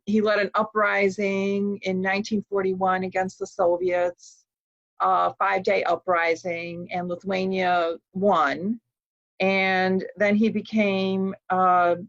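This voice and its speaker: American woman speaker, slow reflective storytelling, muffled lo-fi call audio. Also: medium pitch. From United States. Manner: slow, reflective, frequent ums and uhs. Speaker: guest speaker. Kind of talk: personal storytelling talk. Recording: dull lo-fi sound.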